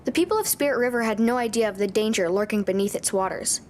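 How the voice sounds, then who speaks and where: A woman's voice: fairly high pitch, lively intonation, fast talker, a little hiss or hum, natural voice. A woman narrating a film in an ominous storytelling tone. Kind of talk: dramatic movie narration.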